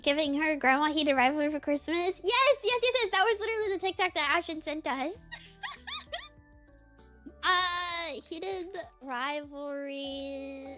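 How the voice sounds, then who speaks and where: A woman's voice: sits high, unhurried, pushed and bright, soft background music. A woman streamer chatting casually in a rambling, giggly way, full of fillers. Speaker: VTuber streamer.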